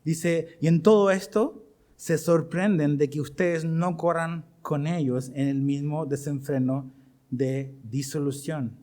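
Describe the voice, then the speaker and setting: Animated Chilean man preaching a sermon. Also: fairly high pitch, takes it slowly. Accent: Chilean Spanish. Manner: animated preaching, asks the congregation questions. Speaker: pastor.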